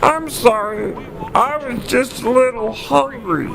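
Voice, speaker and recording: Very high, creaky freeloader. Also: male voice, takes it slowly, fairly bright tone, slight background noise, heavily acted, poor audio. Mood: mild. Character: meek, slow, freeloading male party guest. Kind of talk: comedy cartoon character acting.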